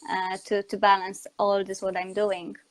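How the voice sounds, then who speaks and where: A woman's voice: mid-range voice, monotone, moderate pace. A woman from Poland with a Polish accent, speaking non-native English as a guest in a conversational interview about her work. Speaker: interview guest, communication coach and online educator.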